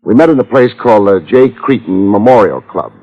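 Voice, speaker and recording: Brief: hardboiled radio gumshoe, sardonic and clipped, low husky voice, muffled vintage broadcast sound. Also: male voice, expressive, a little fast. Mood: dry narrating. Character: wry, tough, wisecracking male private detective. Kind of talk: 1950s radio detective drama, first-person narration and dialogue. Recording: muffled old radio recording with music.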